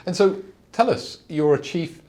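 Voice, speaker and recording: A man's voice: on the high side, a little slow, mellow. A man hosting a business interview series, asking long rambling questions with restarts and backing the guest with frequent mm-hmms. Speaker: interview host, company CEO.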